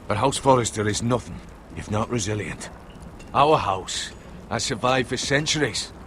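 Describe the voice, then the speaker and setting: An actor playing a grave, devoted older uncle in a medieval fantasy game, speaking in a solemn, formal, measured way. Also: male voice, on the low side, a bit bright, a little hiss or hum, close to an everyday voice. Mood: solemn, secretive. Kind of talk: dramatic fantasy game acting.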